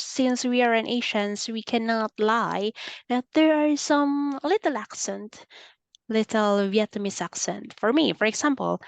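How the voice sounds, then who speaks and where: A woman's voice: mid-range voice, creaky, no echo. Filipino-accented woman tutoring over a call. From Philippines. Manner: patient, explanatory, frequent "okay" fillers. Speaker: English tutor. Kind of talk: online one-on-one speaking lesson. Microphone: video call.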